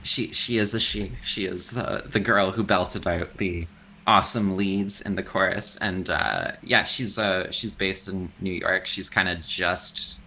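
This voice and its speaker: A man with a deep voice, chatting loosely as a podcast guest, swearing casually and laughing easily. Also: fairly even intonation, unhurried, bright, forceful tone, slight background noise, rough recording. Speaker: guest, extreme metal vocalist. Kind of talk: casual interview chat.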